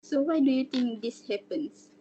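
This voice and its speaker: A woman interviewing a student, asking questions slowly and carefully. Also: high-pitched, expressive, mellow, slight background noise. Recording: dull lo-fi recording.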